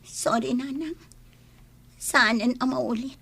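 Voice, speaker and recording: Meek young mom, apologetic daughter, highish breathy husky voice, radio drama. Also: female voice, takes it slowly, fairly bright tone, heavily acted. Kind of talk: Ilocano radio drama acting.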